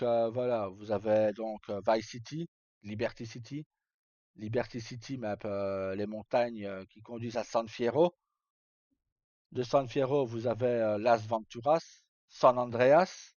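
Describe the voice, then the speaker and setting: A man's voice: medium pitch, fairly even intonation, slow, darkish tone. A man live-streaming, chatting casually to viewers and explaining things in an informal, hesitant, repetitive way. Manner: informal, hesitant, repeating himself. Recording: roomy, dull lo-fi stream microphone.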